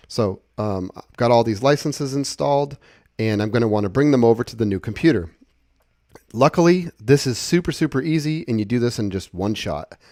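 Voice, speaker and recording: A man giving a casual, conversational software walkthrough, explaining things step by step. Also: mid-range voice, no room sound, studio quality. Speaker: software tutorial presenter.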